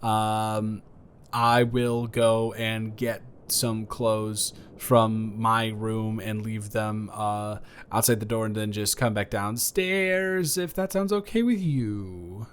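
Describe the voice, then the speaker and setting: A man's voice: lowish voice, steady tone, takes it slowly, slight background noise. A man playing a flirty, awkward, kind neighbor in an improvised roleplay audio, stammering, rambling and correcting himself in a casual conversational way. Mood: flustered, awkward.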